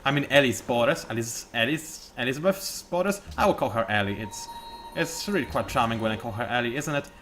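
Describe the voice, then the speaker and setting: A man's voice: medium pitch, animated, a little fast, a bit bright, a little hiss or hum. A man giving casual, joking commentary as he plays a strategy game, thinking aloud and poking fun at himself. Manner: chatty, joking and self-mocking, thinking aloud. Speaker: video game let's play host.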